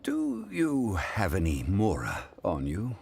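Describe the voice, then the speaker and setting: An actor playing a composed, scholarly gentleman in a video game, formal, measured and eloquent. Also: low voice, huge pitch swings, creaky, noisy background, full character voice. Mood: polite.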